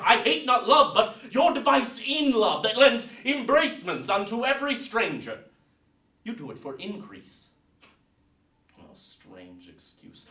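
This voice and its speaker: A man playing a bashful but disdainful young man in a deliberate, emphatic recital of Shakespeare verse. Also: high voice, sharp tone, heavily acted. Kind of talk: theatrical Shakespeare verse monologue. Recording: roomy echo, distant mic.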